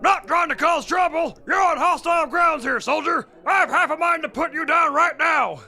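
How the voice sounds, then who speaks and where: An actor playing a belligerent military trooper mascot in a comedy audio drama, shouting in a bombastic drill-sergeant manner. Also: male voice, extremely high pitch, moderate pace, gravelly, exaggerated voice. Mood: outraged.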